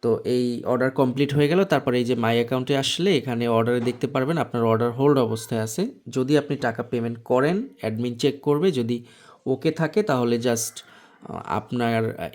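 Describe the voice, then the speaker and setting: A man's voice: medium pitch, darkish tone. Bangladeshi man giving a step-by-step tutorial. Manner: explanatory, step-by-step. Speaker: software tutorial presenter. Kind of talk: informal screen tutorial narration.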